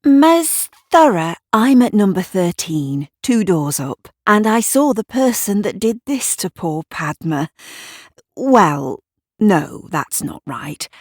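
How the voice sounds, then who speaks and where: A woman's voice: mid-range voice, very animated, slow, no room sound, clean studio sound. A woman voicing a self-important, nosy female neighbour in an audio drama, prim and fussy, correcting herself as she goes. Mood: officious.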